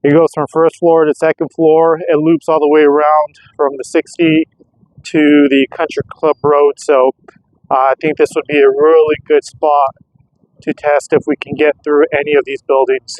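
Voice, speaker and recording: A man's voice: on the high side, level tone, moderate pace, highs cut off. An American man talking casually and off the cuff as a vlogger testing gear, rambling and thinking aloud. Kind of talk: casual unscripted vlog narration. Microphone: clip-on microphone.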